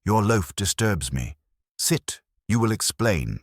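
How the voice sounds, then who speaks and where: A man's voice: on the low side, big pitch swings, slow, no room sound, clean studio sound, pitched above the performer's own voice, full character voice. A man voicing a haughty, irritated detective genius who pronounces each thing precisely and pompously. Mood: stern.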